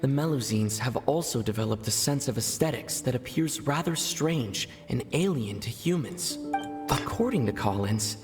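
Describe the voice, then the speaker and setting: An actor playing a thoughtful young investigator in a video game, laying out clues in a measured, pensive way. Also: male voice, medium pitch, lightly acted. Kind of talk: video game character acting.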